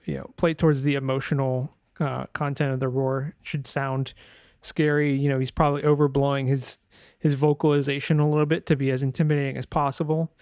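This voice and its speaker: A man's voice: medium pitch, little pitch movement, brisk, darkish tone, smooth, dry recording, studio quality. A man giving an informal sound design tutorial, thinking aloud in a hesitant way as he demonstrates. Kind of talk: informal screen-share tutorial narration.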